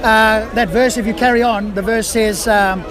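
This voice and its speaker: A man's voice: high voice, steady tone, moderate pace. A man hosting a livestream street debate, challenging his opponents with probing questions and interruptions. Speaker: livestream host, sceptic debater. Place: busy outdoor public speaking spot. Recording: crowd chatter in public space.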